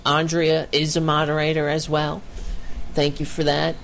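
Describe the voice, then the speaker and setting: A woman with a very low, deep voice hosts a casual online show over a video call. She talks bluntly and swears freely. A faint hiss of background noise sits under her voice. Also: completely flat delivery, normal speed. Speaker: podcast host. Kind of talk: casual livestream chat.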